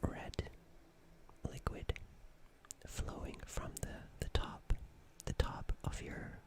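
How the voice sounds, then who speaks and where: A performer playing a gentle, nervous alien in a whispered ASMR roleplay, speaking in a breathy, hesitant, stammering and soothing way. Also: takes it slowly, natural voice. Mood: reassuring, concerned. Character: gentle, nervous alien caring for an injured human.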